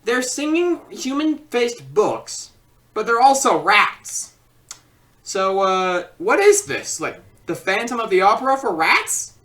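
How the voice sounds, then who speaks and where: Woman, casual film reviewer, rambling, comedic, lively swooping pitch, distant microphone. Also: slow. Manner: rambling, excitable delivery.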